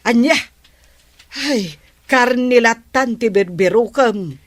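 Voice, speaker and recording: Slow, dry-sounding village captain's wife. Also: female voice, fairly high pitch, dry recording. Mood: chiding. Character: village captain's wife, patient but nagging caretaker. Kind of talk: Ilocano comedy radio drama acting.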